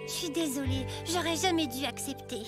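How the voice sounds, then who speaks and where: Cartoon boy played by a woman: very high voice, earnest and sheepish, music underneath. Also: brisk, lightly acted. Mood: apologetic. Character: hesitant, guilt-ridden young boy of rival clan. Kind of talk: French-dubbed children's cartoon acting. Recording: music bed under the dialogue.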